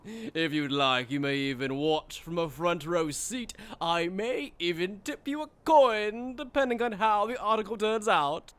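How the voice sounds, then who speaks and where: A man's voice: high-pitched, a little slow, fairly bright tone, smooth, no echo. A man playing a cruel, mocking aristocratic villain in an anime fan dub, with pompous, condescending, theatrical delivery. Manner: pompous, theatrical, sneering formal speech.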